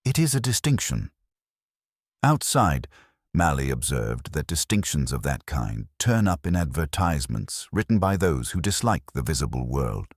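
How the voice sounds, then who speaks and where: A man reading a scripted detective story as narrator, in a dry, measured, literary manner. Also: very deep voice, very animated, no echo.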